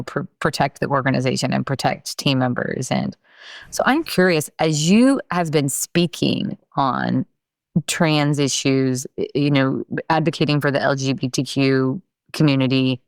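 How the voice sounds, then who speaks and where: A woman hosting a podcast, asking thoughtful, measured interview questions. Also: rumbling low, dry recording, clean studio sound. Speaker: podcast host. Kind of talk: conversational interview.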